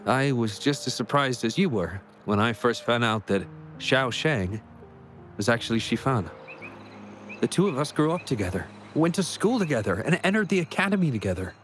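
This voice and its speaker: A man's voice: medium pitch, close to an everyday voice. A man playing an earnest, apologetic young researcher in a video game, hesitant and confiding. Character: earnest, apologetic young male researcher, worried about friend.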